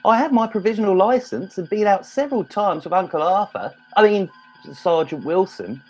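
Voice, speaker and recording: A man's voice: high voice, animated, normal speed, highs cut off. A man playing a whiny, eager, naive teenage lad in an amateur comedy radio play.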